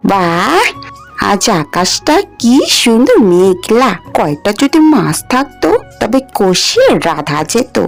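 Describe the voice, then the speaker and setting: A woman voicing a poor, kind young village wife in a cartoon fairy tale, in a homely, expressive way. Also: medium pitch, takes it slowly, soft background music. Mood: wistful, content.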